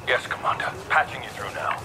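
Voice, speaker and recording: Man acting stern Imperial soldier amid loud noise. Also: mid-range voice, big pitch swings, fairly quick, close to an everyday voice. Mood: dutiful. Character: zealous, rigidly loyal male Imperial soldier. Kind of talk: dramatic video game cutscene acting. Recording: loud battle noise behind voice.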